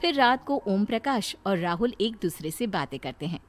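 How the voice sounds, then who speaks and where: A woman's voice: mid-range voice, big pitch swings, normal speed. A woman narrating a scripted moral story as the storyteller. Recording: music bed behind voice.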